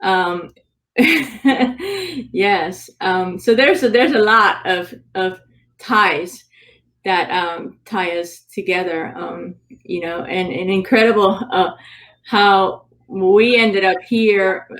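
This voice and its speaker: A woman's voice: medium pitch, slow, fairly bright tone. A woman from Cuba who speaks English. She answers hesitantly, with many ums, over a video call. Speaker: guest. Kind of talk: conversational interview answer.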